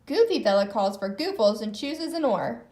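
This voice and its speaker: A woman narrates a children's storybook with plenty of expression. Her voice is high and her intonation is very lively, shaping each sentence for young listeners. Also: normal speed. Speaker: storybook reader.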